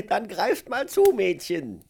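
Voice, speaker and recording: Man playing a creaky, jovial old gardener. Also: high-pitched, a little hiss or hum, exaggerated voice. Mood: jovial, welcoming. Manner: avuncular, gently teasing. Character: kindly, jovial old male Polish immigrant gardener. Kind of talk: German radio-play acting.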